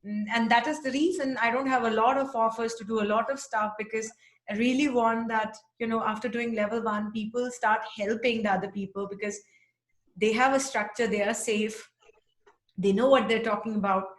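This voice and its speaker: A woman's voice: a little high, steady tone, moderate pace. A woman hosting an interview on a video call, speaking in an encouraging, flowing way. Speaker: Ayurveda teacher, interview host. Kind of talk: casual interview chat. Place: home office, video call. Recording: roomy video call audio.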